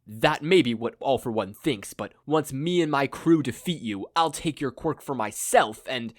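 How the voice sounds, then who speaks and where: Audio story henchman, male reader: smug schemer, coolly menacing, animated delivery. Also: medium pitch, normal speed, dry recording, studio quality, voice pushed up, close to an everyday voice.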